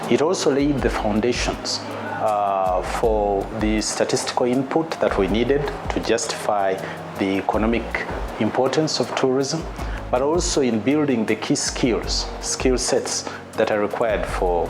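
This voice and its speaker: Man speaking formally over music. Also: on the low side. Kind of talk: formal interview remarks. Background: background music.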